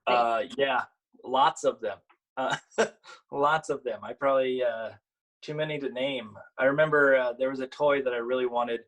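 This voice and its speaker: A man who works as a children's author, talking casually and explaining in a halting, conversational way during a storytime. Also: medium pitch, steady tone, a bit bright, rough recording. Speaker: picture book author and illustrator. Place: at home, online video event.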